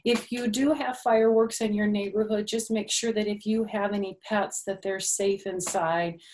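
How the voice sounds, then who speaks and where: A woman's voice: mid-range voice, fairly even intonation, normal speed, a little mellow, a little hiss or hum, rough recording. An older woman reading a picture book aloud to young children, with simple phrasing and little questions and asides to the listeners.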